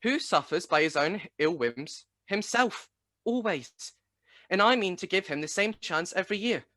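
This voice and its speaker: A man's voice: sits high, lively intonation, normal speed, pushed and bright, no room sound. A man playing a cheerful, forgiving young husband in a radio play, jovial and good-natured.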